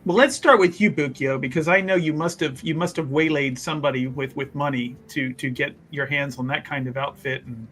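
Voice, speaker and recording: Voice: medium pitch, a little fast, few pauses, fairly bright tone, husky, soft background music. A player at a tabletop game, playful and mock-philosophical, often laughing. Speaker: player voicing a character. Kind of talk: tabletop roleplay banter.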